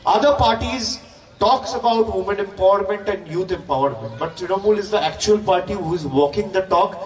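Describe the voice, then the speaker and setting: A man's voice: high-pitched, brisk, darkish tone. A man with an Indian accent giving an emphatic, repetitive political stump speech at a public rally. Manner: emphatic, repetitive, with rhetorical questions. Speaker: political campaign speaker. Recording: distant, echoey, noisy public-address sound.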